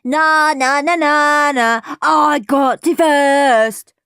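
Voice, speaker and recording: A woman's voice: high-pitched, steady tone, drawn-out words, bright, forceful tone, no room sound, clean studio sound, pitched above the performer's own voice, heavily acted. A woman voicing a bratty, furious young boy who shrieks, jeers and demands in a children's storybook. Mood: jeering, gloating.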